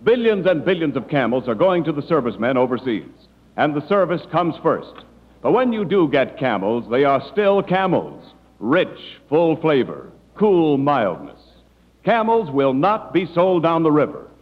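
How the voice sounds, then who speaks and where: A male announcer delivers a 1940s radio advertisement in a highly animated, slightly high voice. His salesmanship is polished and emphatic, and the old broadcast recording rings with hall echo. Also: moderate pace, muffled recording.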